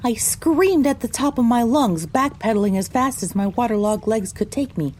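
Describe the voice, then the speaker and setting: A woman's voice: fairly high pitch, expressive, moderate pace, a little mellow, slight background noise, close to an everyday voice. A woman narrating an audio drama in the first person as a frightened young female pony recounting her misadventure. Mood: dramatic recounting.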